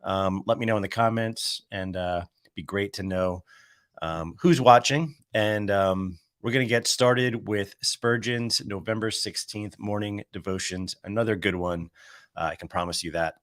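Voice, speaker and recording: Low-voiced man with a cold, reading devotions. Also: unhurried, no echo, clean studio sound. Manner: relaxed and conversational, musing aloud between passages. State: under the weather with a cold. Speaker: host reading a daily devotional. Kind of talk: devotional reading with casual commentary.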